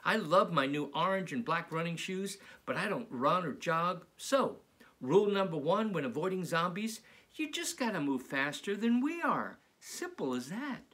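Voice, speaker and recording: A man reading aloud as a children's author, in an animated storybook style. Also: high-pitched, normal speed.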